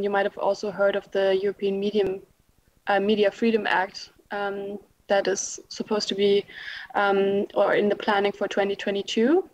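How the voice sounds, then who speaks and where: Flat-toned woman giving a policy briefing. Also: medium pitch, no echo, low-quality recording. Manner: measured, with frequent pauses and filler sounds. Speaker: policy official at a panel discussion. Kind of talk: formal briefing answer. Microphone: video call.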